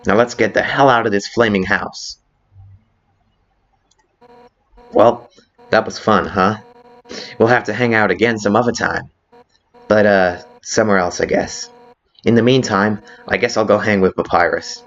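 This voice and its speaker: A brash, cocky fish warrior woman from a game fan dub , acted out by a man. The voice sits low and speaks bluntly, with teasing swagger over a soft heart. The recording is a little dull. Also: normal speed. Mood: friendly.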